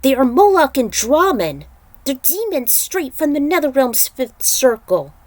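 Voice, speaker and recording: A woman voicing a grim, forceful fighter in a fantasy story reading. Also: high voice, sing-song, a little slow, no room sound, pitched above the performer's own voice. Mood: grim warning.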